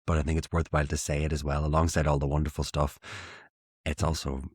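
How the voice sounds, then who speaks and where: Irish man, very low voice, dry close sound, lively self-mocking chat. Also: fast, breathy edge, no echo. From Ireland. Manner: animated, self-mocking, frequent restarts. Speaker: theatre maker, guest. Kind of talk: casual interview chat.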